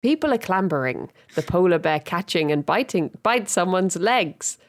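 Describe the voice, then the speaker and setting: Woman podcast host, lively sing-song read, giggly, reading a dream diary to a guest. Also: fairly low pitch, moderate pace. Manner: giggly, reads with frequent laughter.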